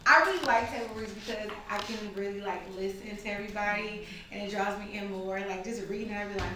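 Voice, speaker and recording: A woman talks in a very echoey room, some way from the microphone. She is enthusiastic and encouraging, chatting casually with a group at a medium pitch. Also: steady tone, fast talker. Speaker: table read participant. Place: indoor room at a group table read. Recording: echoey room, far mic, hissy noise.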